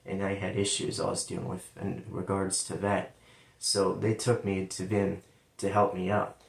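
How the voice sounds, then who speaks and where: Ohio-accented man, lowish voice, casual rambling storyteller, occasional W-for-L slip, sits back from the mic. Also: completely flat delivery, moderate pace, creaky. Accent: central Ohio. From London, Ohio, USA. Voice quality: sometimes turns L into a W sound. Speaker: vlogger telling his life story. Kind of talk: personal storytelling monologue. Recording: roomy, distant from the mic.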